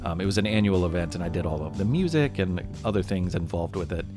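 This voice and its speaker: Low-pitched, lively man narrating a podcast. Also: fast, music in the background. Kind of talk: scripted narration for a children's history podcast.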